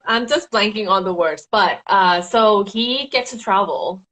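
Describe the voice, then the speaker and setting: A woman from Thailand with a Thai accent, a designer and paper florist chatting as an interview guest, full of fillers and quick laughs. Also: mid-range voice, fairly bright tone, rough recording. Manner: chatty, giggly, many fillers. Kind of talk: casual interview answers.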